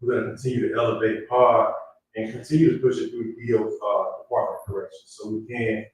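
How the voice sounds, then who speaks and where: Male moderator, fairly deep, measured and halting, off-mic at a live event with faint room noise. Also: level tone. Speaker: panel moderator, community advocate. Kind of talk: unscripted public event remarks. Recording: echoey room, distant mic, background noise.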